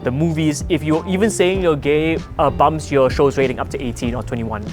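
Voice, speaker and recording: A comedian from Singapore, a man, chatting as an interview guest with a quick, jokey manner and deadpan asides, recorded outdoors on a rooftop. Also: fairly high pitch, over music. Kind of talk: casual interview chat.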